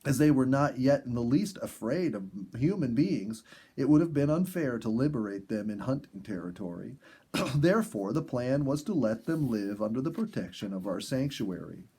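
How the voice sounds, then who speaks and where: A man reading a story aloud in an expressive, measured storybook narration style. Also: mid-range voice, husky. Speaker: audiobook reader and host.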